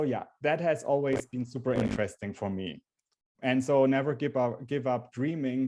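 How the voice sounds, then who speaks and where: A man's voice: medium pitch, darkish tone. A German-accented man in his forties presents a live webinar through a computer microphone. His voice sits in an ordinary middle register, and he talks earnestly, often stopping to restart a phrase. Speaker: webinar host.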